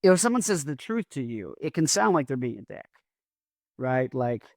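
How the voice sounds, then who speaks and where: A man's voice: mid-range voice, very animated, gravelly, no echo. Guy rambling on his podcast, mumbly, s's kinda drag, dry close sound. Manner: mumbles words, slightly drawn-out S sounds; rambling, self-deprecating. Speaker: podcast host. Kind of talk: casual solo monologue.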